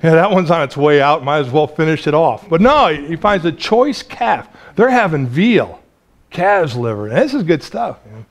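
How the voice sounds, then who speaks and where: Lively, chatty male preacher. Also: a little high, normal speed. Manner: lively, chatty preaching with laughs and repeated restarts. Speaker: pastor. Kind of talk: sermon. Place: small church sanctuary.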